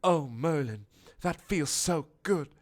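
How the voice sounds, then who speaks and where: Male reader as anxious sentient building, raised pitch, breathy, easily overwhelmed, animated storybook character. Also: slow. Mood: breathless, yielding. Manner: anxious, breathy exclamations and protests. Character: nervous, flustered sentient castle school, male, easily overwhelmed.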